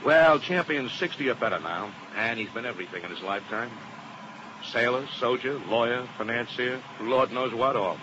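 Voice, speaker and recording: An actor playing an earnest, uneasy insurance agent in a 1950s radio drama, heard on a muffled, hissy old broadcast. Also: male voice, mid-range voice, normal speed, natural voice. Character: worried male insurance agent, earnest partner.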